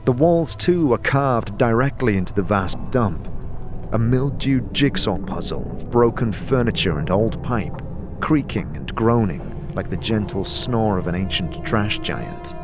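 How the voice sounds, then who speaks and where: A man narrating a fantasy audio drama in the second person, with deliberate, theatrical storytelling. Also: lowish voice, animated. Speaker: narrator and game master. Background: music bed.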